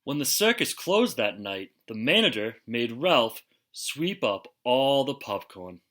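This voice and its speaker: Bright-voiced man reading a children's story, animated. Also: medium pitch, takes it slowly. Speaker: storybook reader. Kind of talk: expressive children's picture book read-aloud.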